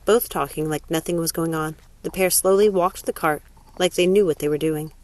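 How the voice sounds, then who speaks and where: A woman's voice: low-pitched, normal speed, a little mellow. A woman narrating a novel aloud in scripted audiobook style. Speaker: narrator, story reader.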